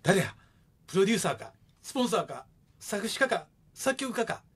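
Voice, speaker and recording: Gruff widowed father, male actor, Kansai accent, blunt joker, lively intonation, comic radio play. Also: sits high, fairly quick, full character voice. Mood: joking.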